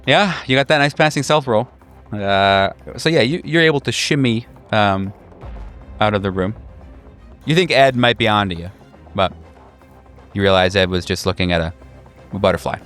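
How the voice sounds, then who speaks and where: A man narrating as game master in a casual, halting, improvised style for a tabletop horror game. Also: fairly low pitch, a bit bright, soft background music.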